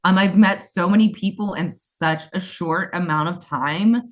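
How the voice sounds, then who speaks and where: A woman's voice: lowish voice, unhurried. A woman in her forties with a US East Coast accent, a talkative and enthusiastic guest who rambles a little in casual interview conversation. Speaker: guest, school co-founder.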